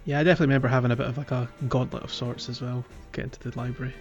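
A man's voice: medium pitch, little pitch movement, rapid-fire, muffled recording. A man hosting a podcast, chatting casually and explanatorily through a video game playthrough. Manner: conversational, explanatory. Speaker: podcast host. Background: clear music.

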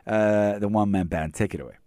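A man's voice: lowish voice, fairly quick, over music. A man hosting a podcast interview in casual chat, hyped and often stumbling over repeated words. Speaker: podcast host.

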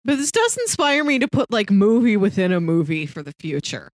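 A woman's voice: medium pitch, big pitch swings, brisk, no echo. A young adult woman co-hosting a podcast, chatting casually in a wry, chatty way with lots of "like" thrown in. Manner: chatty, wry, peppers talk with "like". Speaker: podcast co-host. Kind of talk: casual movie podcast chat.

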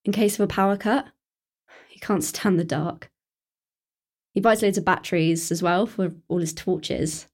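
A woman's voice: on the low side, fairly even intonation, a little slow, long pauses, a little mellow. A woman with a British accent playing an anxious, sensible teenage daughter in a naturalistic radio play, earnest and cautious.

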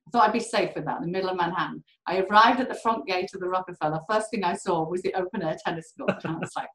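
A British woman telling candid stories in an interview, animated and quick to laugh. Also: fairly low pitch, roomy sound, rough recording. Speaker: core facility director. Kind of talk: candid conversational storytelling.

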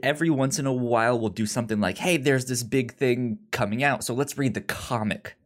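A man's voice: medium pitch, fairly quick. A man co-hosting a casual movie review podcast, speaking enthusiastically and often repeating words as he thinks. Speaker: podcast co-host.

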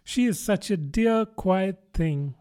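A man's voice: high voice, expressive, takes it slowly, mellow, clear, resonant tone, voice pushed up. A man voicing a polite, curious young girl in a storybook, earnest and chatty. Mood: eager chatter. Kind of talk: storybook character voice.

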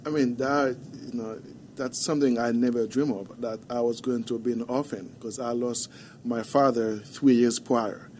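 Haitian-accented male guest, mellow and warm, quiet earnest storyteller, faint hiss behind. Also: mid-range voice, steady tone. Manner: soft-spoken, halting, earnest storytelling. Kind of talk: podcast interview. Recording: faint background noise.

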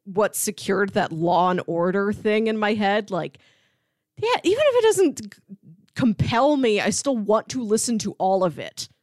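A woman's voice: mid-range voice, sing-song, moderate pace, darkish tone, no echo, studio quality. A woman co-hosting a podcast, chatting casually and playfully about media. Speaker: podcast co-host.

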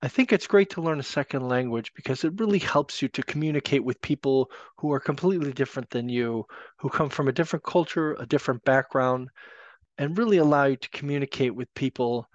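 A man co-hosting a podcast speaks steadily and with measure. His pitch sits at an ordinary level. The sound is dry and somewhat dull. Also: a little fast, no echo. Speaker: podcast co-host. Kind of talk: scripted reflection.

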